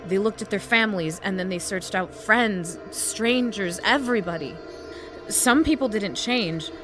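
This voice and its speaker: A woman's voice: mid-range voice, unhurried, music in the background, close to an everyday voice. An actress playing a wary, anxious woman who survived an alien invasion, in an audio drama. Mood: grave.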